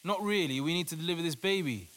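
A man's voice: fairly high pitch, fast, fairly bright tone, close to an everyday voice. An actor playing an earnest, devout male doctor who takes charge in an audio play. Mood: urgent. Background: music.

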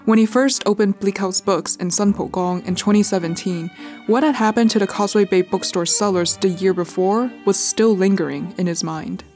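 A woman's voice: mid-range voice, moderate pace, a little mellow, faint music behind. A young adult woman from California with an American accent, reading scripted narration as a podcast host. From California, United States.

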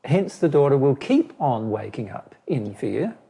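A man's voice: medium pitch, big pitch swings, gravelly, dark tone, slightly rough. A man losing his voice, explaining a personal question at length and restarting his phrases as he goes. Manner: measured, repeats and restarts phrases. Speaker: spiritual teacher answering a question. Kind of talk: explaining to a listener.

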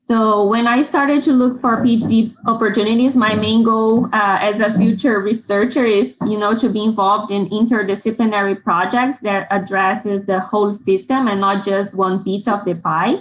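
A woman's voice: mid-range voice, fairly even intonation, moderate pace, few pauses, muffled recording. A young woman from Brazil, a PhD student, introducing her research in an earnest, explanatory way. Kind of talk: self-introduction in interview.

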